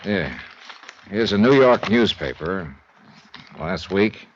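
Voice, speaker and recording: An American actor playing a world-weary, sympathetic insurance investigator in a 1950s noir radio drama, with clipped, colloquial delivery. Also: male voice, low-pitched, lively intonation, takes it slowly, heavily acted. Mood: brisk, wry. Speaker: lead detective and narrator. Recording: dull vintage radio recording.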